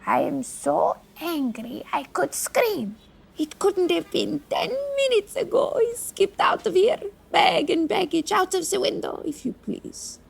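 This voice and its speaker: A woman playing a prim, indignant rooming-house landlady who scolds and hushes in a comedic radio-style audio drama. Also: extremely high pitch, sing-song, measured pace, creaky, exaggerated voice. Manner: fussy, scolding, hushing.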